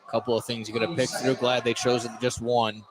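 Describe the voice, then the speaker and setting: A man calling live high school basketball play-by-play on the radio as the game's announcer. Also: flat delivery, fast. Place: indoor school gymnasium during a game. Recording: noisy gym, loud background noise.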